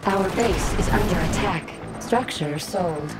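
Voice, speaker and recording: Loud game music runs under a female battle computer played by a woman. She delivers short status announcements in a mid-range voice, measured and impersonal, as a strategy game announcer. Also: medium pitch, lightly acted, rough recording. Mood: impersonal, calm. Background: loud music and game battle sounds.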